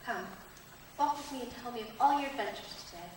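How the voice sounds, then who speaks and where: A woman on stage playing a gentle, devoted young sister, speaking earnestly and sincerely. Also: a little high, animated, quick pace, lightly acted. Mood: comforting. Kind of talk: stage play acting. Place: school theatre stage, recorded from the audience. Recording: very echoey hall, distant mic, noisy.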